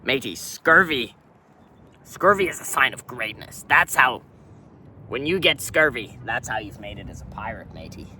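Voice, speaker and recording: A man's voice: mid-range voice, big pitch swings, normal speed, sharp tone, a little hiss or hum. A man doing a grubby, swaggering pirate. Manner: pirate slang, keeps saying "matey"; rambling, offhand rants. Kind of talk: comic character monologue.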